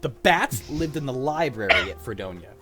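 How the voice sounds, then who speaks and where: A man's voice: mid-range voice, sing-song, normal speed. A young man in casual group chat, talking in a crude, joking, sweary way. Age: young adult. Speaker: show cast member. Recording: music behind. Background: music.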